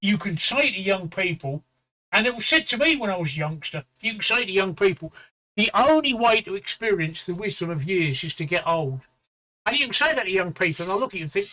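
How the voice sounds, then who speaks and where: A man's voice: high voice, bright, forceful tone, low-quality recording. A man in his fifties hosting a live stream, talking bluntly and rambling through personal stories with some swearing. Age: 50s. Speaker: streamer host. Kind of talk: casual chat.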